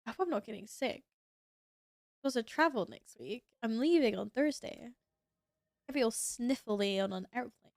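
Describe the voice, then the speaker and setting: A woman's voice: a little high, expressive, normal speed, creaky, pauses often, darkish tone, no echo, clean studio sound. Chatty sniffly woman gaming streamer. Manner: chatty, reacts to gameplay, reads chat aloud. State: sniffly, sneezing. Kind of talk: casual gaming stream chat.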